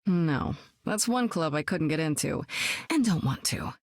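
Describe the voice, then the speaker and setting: A seasoned woman superspy with a cool, wry, self-assured delivery. Her voice sits a little low and is recorded close and dry, with no room around it. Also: lively intonation, measured pace, darkish tone, no echo. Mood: warm, amused. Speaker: game hero. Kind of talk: video game voice lines.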